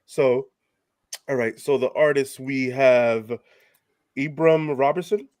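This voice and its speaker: A man's voice: medium pitch, takes it slowly, a little mellow, no room sound, studio quality. Stuffed-up man hosting a podcast. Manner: laughing, casual, profane. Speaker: podcast host. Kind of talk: casual podcast chat.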